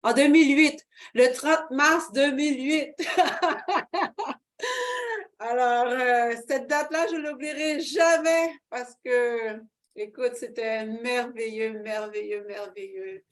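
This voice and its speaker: A woman's voice: high-pitched, takes it slowly. A middle-aged woman, giving a fervent personal faith testimony as an interview guest, with many fillers. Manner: fervent, expressive, with frequent fillers and laughs.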